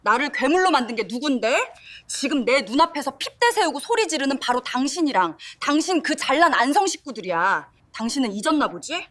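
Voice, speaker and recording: A cold, resolute wife, voiced by a woman in an audio drama. Her voice sits fairly high and swings widely, giving firm, clipped replies with a cutting edge. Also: sing-song, a little fast, a bit bright. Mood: bitter.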